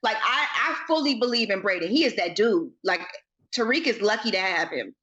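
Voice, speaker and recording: An American woman with a mid-pitched voice chats as a podcast co-host, casual, emphatic and full of opinions. Her audio is a little dull and lo-fi. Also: lively intonation, brisk, bright, forceful tone. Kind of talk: casual, opinionated TV recap chat. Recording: slightly dull, lo-fi sound.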